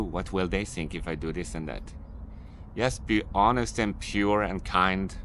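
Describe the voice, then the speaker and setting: A man's voice: low voice, a bit bright, slight background noise. A Swedish man who speaks English as a second language, giving unscripted, somewhat repetitive and mildly annoyed advice while filming on a city street. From Sweden. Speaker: vlogger, business owner. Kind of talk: unscripted vlog monologue.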